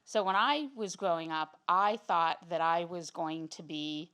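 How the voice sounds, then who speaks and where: A woman's voice: lowish voice, measured pace, a bit bright, a little hiss or hum. A woman introducing herself as a data leader on a panel, composed and friendly.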